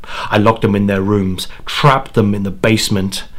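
A man playing an anguished, dying old grandfather in a first-person horror monologue, speaking haltingly and dramatically with repeated words. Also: on the low side, fairly even intonation, lightly acted. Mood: sorrowful confession. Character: anguished dying old grandfather confessing his terrible bargain.

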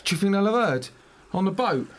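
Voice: medium pitch, animated, brisk, natural voice. An actor playing a naive, nervous smuggler, earnest and rambling, in an audio drama. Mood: flustered, panicky. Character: nerdy, nervous, naive smuggler, keen on birds and shells.

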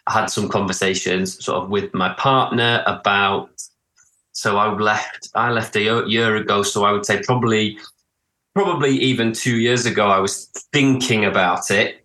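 A man from northeast England with a northern English accent, talking as a podcast guest in a low, bright, forward voice. He talks in a halting, thoughtful way and often restarts his sentences. The room around him sounds echoey. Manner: hesitant, many restarts and "sort of". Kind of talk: casual interview talk.

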